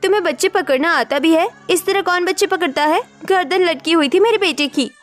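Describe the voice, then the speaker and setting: High-pitched fretful young woman acted by a woman. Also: a little fast, faint music behind. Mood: scolding. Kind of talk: animated Hindi story dialogue.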